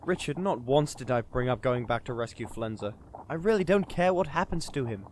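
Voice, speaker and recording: A man's voice: mid-range voice, lively intonation, a little fast, darkish tone, slight background noise, lightly acted. A man playing a dry, sceptical, grumbling adventurer sidekick in a comedy fantasy audio drama. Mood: sceptical, irritated. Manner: dry, sardonic.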